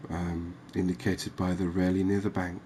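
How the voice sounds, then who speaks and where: A soft-spoken man giving ASMR gameplay commentary, thinking aloud with pauses. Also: low voice, little pitch movement, slight background noise. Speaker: gaming video host.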